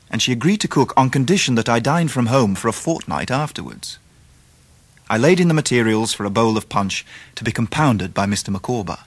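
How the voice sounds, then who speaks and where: A man's voice: on the low side, slightly rough, dry recording. An actor playing a composed, genteel English man who narrates his own story in a radio drama. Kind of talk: dramatised first-person storytelling.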